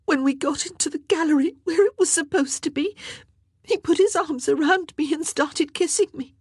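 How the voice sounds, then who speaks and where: A woman voicing a distraught young maid of honor in an audiobook, tearful, ashamed and halting, gasping through sobs. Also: sits high, a little mellow, no echo, clean studio sound, pitched above the performer's own voice, close to an everyday voice. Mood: sobbing, distressed. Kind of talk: audiobook character acting.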